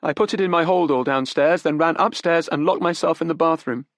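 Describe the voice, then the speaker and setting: A man's voice: a little fast, no echo, clean studio sound, lightly acted. A man voicing a tearful, desperate young woman. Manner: breathless, pleading, high and shaky. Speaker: murder suspect's daughter. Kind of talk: audiobook character voice.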